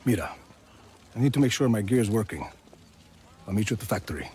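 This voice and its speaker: A man playing a cool, gruff, reluctant action hero in a video game, laconic and understated. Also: on the low side, lively intonation, brisk, dark tone. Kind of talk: video game cutscene acting.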